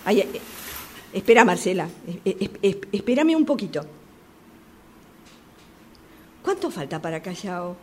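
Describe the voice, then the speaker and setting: A woman's voice: mid-range voice, big pitch swings, moderate pace, lightly acted. An actress playing a chatty, exasperated woman with a Rioplatense Argentine accent, gossiping and complaining in a radio play about a subway phone call. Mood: flustered. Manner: gossipy, exasperated, repeating herself. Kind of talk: radio theatre monologue acting. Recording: clearly noisy amateur workshop recording.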